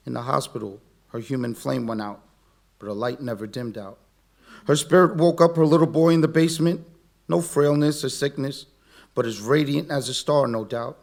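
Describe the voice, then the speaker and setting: A man in his forties performing a spoken word poem at an open mic, earnest, slow and heartfelt. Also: a little high, gravelly. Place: community center event.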